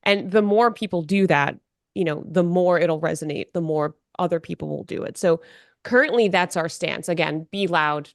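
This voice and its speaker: A woman on a non-profit board explaining her group's work in a podcast interview, measured and full of "you know". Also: on the low side, creaky, no room sound, clean studio sound.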